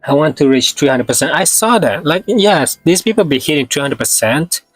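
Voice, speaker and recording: A man's voice: a little high, lively intonation, normal speed, husky. A man giving casual, rambling live commentary as he streams a game, repeating himself and swearing mildly, with a slightly sore throat.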